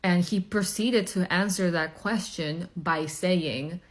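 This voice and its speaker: A woman from Chile telling a story to camera in a deliberate, deadpan way. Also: lowish voice.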